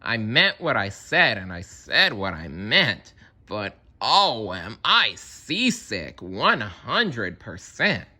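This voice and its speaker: Loyal storybook elephant, done by a man: earnest, patient, declaiming, lively pitch. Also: slow, heavily acted. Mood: steadfast, weary. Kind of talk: storybook character read-aloud.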